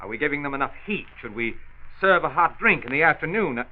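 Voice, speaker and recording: An actor playing a hot-tempered, driven factory owner in a 1940s radio drama, blustering and emphatic. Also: male voice, fairly high pitch, lively intonation, quick pace, lightly acted. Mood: earnest, pressing. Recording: muffled, noisy 1940s radio transcription.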